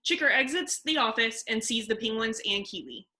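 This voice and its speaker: Female narrator: higher, bright, crisp scene-setting for a table read. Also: animated, a little fast. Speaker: narrator reading stage directions.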